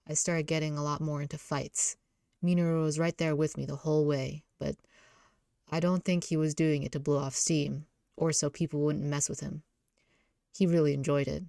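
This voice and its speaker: Woman voicing a low, weary, brooding young man. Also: creaky, darkish tone, no echo, clean studio sound. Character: battered, guilt-ridden, gruff young man, a brooding love interest.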